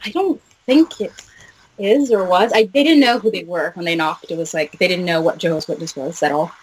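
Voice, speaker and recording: A young woman from Norway, a film director, answering interview questions in a relaxed, hesitant way with many "uh"s and "like"s. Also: lowish voice, brisk, husky, poor audio.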